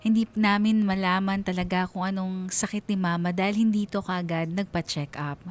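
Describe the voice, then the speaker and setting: A woman narrates a personal story for Filipino radio. Her voice sits at an ordinary middle pitch, in a scripted storytelling style. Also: fairly even intonation, moderate pace.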